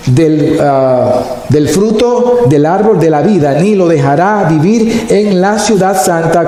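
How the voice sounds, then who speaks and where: Male pastor, slow drawn-out preaching, mellow voice, very echoey hall, faint hiss. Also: fairly high pitch. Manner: slow, deliberate preaching with stretched emphasis. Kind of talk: sermon.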